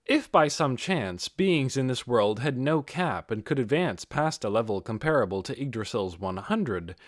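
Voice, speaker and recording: A man reading a scripted fantasy audiobook in an expressive, dramatic storytelling manner. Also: mid-range voice, no echo, studio quality. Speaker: audiobook reader.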